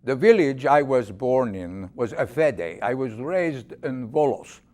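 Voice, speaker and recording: A man's voice: medium pitch, expressive, unhurried, a little mellow, pitched above the performer's own voice, close to an everyday voice. A man playing an elderly Greek immigrant recalling his youth in a dramatic monologue, with a slight Greek accent. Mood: reflective.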